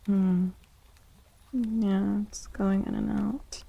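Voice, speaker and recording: Soft-spoken, creaky-voiced woman streamer. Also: medium pitch, steady tone, muffled recording. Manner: chatty, unhurried, greets viewers by name. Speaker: live streamer hosting ASMR tarot stream. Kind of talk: soft-spoken ASMR livestream chat.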